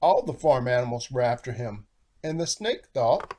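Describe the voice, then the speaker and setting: A man reading a children's storybook aloud in a theatrical, animated style with rhyming phrasing. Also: medium pitch, moderate pace. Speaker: storybook narrator, author.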